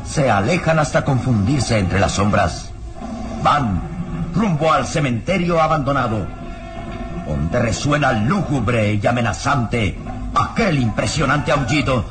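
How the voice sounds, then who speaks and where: A man's voice: mid-range voice, lively intonation, a little slow. A man narrating an old radio serial in a solemn, suspenseful, theatrical style. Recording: old radio recording, music behind.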